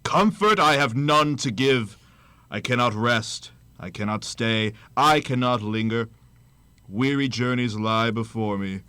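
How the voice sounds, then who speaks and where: A commanding male ghost acted by a man in a radio drama, full of sorrow. He declaims solemnly and stretches out his words at a middling pitch, in a dry recording. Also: unhurried, bright, forceful tone, clear, resonant tone, dry recording. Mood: mournful, solemn. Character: mournful, commanding ghost of a dead male businessman.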